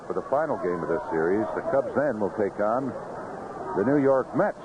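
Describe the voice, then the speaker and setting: Male American baseball play-by-play voice, lively inflection, measured clipped descriptions, vintage radio recording with clear background noise. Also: on the low side, lo-fi sound. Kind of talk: live radio sports commentary. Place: outdoor ballpark broadcast booth. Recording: noisy vintage 1970s AM radio broadcast.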